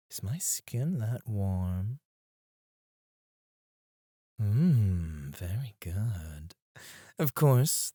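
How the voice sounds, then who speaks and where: A man acting a flirtatious, self-assured male stripper in an ASMR roleplay, suave and teasing, with breathy asides, close on the microphone. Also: low-pitched, dark tone, no echo. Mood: seductive. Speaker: ASMR voice actor.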